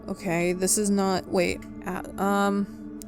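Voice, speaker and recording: A woman giving casual gameplay commentary, thinking aloud in a halting, hesitant way. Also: medium pitch, flat delivery, over music. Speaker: game player.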